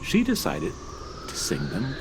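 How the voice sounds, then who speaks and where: A man narrating a children's radio story in an expressive storytelling manner. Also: fairly low pitch, a little slow, vocal fry, background noise.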